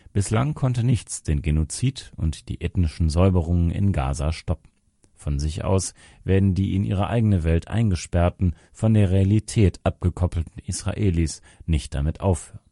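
A man's voice: low-pitched, dark tone, dry recording, clean studio sound. A man reading a scripted political commentary as narration. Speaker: reader of a translated article.

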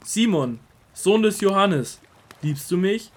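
An actor playing a calm, authoritative Jesus in a Bible audio drama, speaking in a measured, solemn and tender manner. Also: male voice, high-pitched, exaggerated voice. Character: risen Jesus, calm authoritative male teacher.

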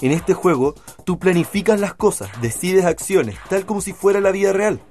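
A man's voice: sits high, normal speed, darkish tone, faint music behind, natural voice. A man playing a laid-back, slightly bossy Chilean university student in an educational radio drama, talking in slang. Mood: explaining.

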